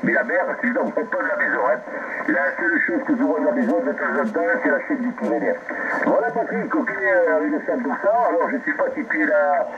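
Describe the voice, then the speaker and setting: A radio operator from southwest France chatting over a radio link, animated and talkative, spelling words in the phonetic alphabet. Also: male voice, extremely high pitch, a little fast. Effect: radio transmission. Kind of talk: CB radio contact. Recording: dull lo-fi radio with loud noise.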